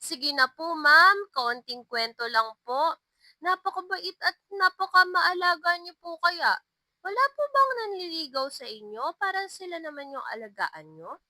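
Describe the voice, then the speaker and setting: A woman voicing cheeky, eager elementary pupils in a narrated story, pleading and teasing in an excitable way. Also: very high-pitched, measured pace, no echo, voice pushed up, heavily acted.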